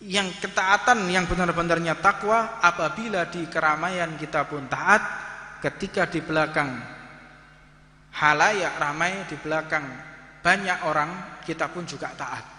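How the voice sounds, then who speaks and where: A man's voice: on the high side, unhurried, sharp tone. A man in his thirties with a Javanese accent, giving a fervent sermon in a mosque and drawing out Arabic phrases. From Indonesia. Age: 30s. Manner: rises to impassioned, fervent preaching, draws out Arabic phrases. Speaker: preacher. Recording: echoey hall reverb.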